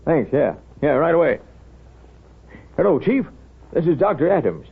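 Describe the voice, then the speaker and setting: An actor playing a wry, kindly small-town doctor with a folksy, unhurried manner in a 1930s radio drama. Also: male voice, on the high side, very animated, vocal fry, breathy edge, exaggerated voice. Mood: troubled. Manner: folksy, wry, unhurried, hesitant drawn-out asides. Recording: thin, tinny 1930s radio recording.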